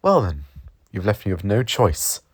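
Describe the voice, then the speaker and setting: A man's voice: lowish voice, big pitch swings, unhurried, husky, full character voice. Man as hesitant, principled time-travelling hero. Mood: resolute. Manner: stammering, musing, firmly moral. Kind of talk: audio story character voice.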